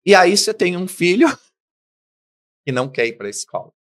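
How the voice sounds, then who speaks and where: A man's voice: sits high, very animated, a little slow, fairly bright tone. Middle-aged guy, business guest, acts out the dialogue as he tells his story. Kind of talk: interview storytelling.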